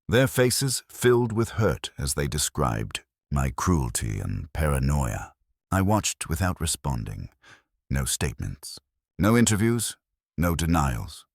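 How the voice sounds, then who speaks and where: A man with an extremely low-pitched voice delivers scripted dramatic narration in a measured, deliberate way. His intonation moves widely up and down. The sound is dry and close, with no room around it. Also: no room sound. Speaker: story narrator. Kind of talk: scripted dramatic first-person audiobook-style narration.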